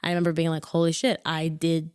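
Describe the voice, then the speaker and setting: Twenties woman, actor guest, loose and chatty, a little low, dry close mic. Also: quick pace, clear, resonant tone, dry recording. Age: 20s. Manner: rambling, self-correcting, animated when excited. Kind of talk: casual interview chat.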